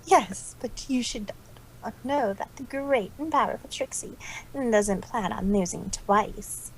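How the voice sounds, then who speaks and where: A woman's voice: a little high, takes it slowly, a little hiss or hum, low-quality recording. A woman voicing a proud, easily wounded female cartoon pony magician with a grandiose manner, in amateur fan-fiction style. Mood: proud, recovering. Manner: grandiose, refers to herself in third person.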